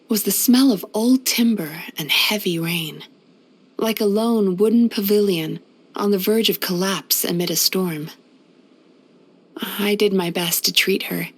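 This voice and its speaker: A woman voicing a gentle, wistful, centuries-old yokai healer in a video game, speaking softly in a measured, polite, reflective way. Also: medium pitch, slightly rough. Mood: gentle, concerned. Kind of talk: scripted video game character voice-over.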